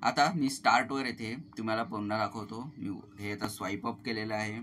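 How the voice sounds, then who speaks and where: A man's voice: on the low side, fairly even intonation, moderate pace. A man narrates a step-by-step tech tutorial as a channel host, explaining things to viewers as friends. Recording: clearly noisy voice-over recording.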